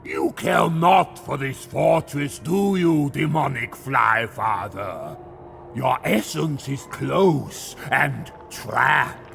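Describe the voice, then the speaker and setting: An actor playing a proud, defiant warlord devoted to a plague god in a video game, declaiming in a grandiose, deliberate way with a gritty voice. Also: male voice, medium pitch, animated, gravelly, music in the background, full character voice. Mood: triumphant, demanding. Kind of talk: video game cutscene acting. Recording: game dialogue over musical score.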